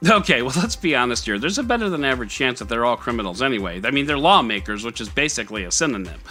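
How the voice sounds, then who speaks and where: A man's voice: mid-range voice, very animated, quick pace, pushed and bright, soft background music. A man hosting a scripted tech news podcast in a conversational, sarcastic way with wry asides. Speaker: podcast host.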